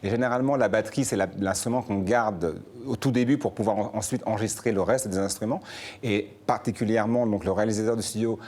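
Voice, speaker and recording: Male musician guest from France, low husky voice, quick and self-repeating. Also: steady tone, darkish tone. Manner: fast, restarts phrases with repeated words. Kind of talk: talk show interview.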